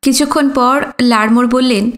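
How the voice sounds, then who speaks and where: Woman, high voice, audiobook narrator, scripted literary storytelling. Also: fairly even intonation, normal speed.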